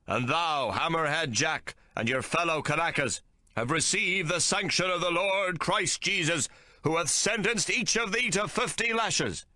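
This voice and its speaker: A man's voice: fairly high pitch, sharp tone, no echo, clean studio sound, voice pushed up. A man voicing a zealous, pompous whaling captain who preaches in archaic thee-and-thou speech.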